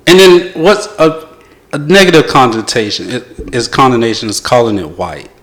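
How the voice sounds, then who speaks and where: A man hosting a podcast in casual chat, talking in a loose, unhurried way with frequent fillers. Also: medium pitch, animated, fairly bright tone. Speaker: podcast host.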